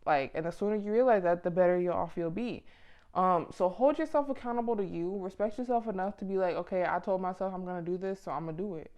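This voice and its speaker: A woman's voice: lowish voice, quick pace, highs cut off. A woman in her mid twenties chatting casually and motivationally to camera, with a blunt, informal, slangy manner. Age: mid 20s. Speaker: vlogger giving self-improvement advice.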